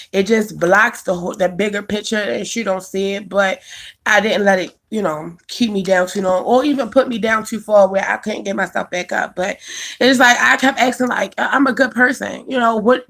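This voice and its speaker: A woman's voice: a little high, sharp tone. A woman, chatting casually on a live stream, answering viewers by name and laughing often. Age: 20s. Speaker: vlogger reading live chat.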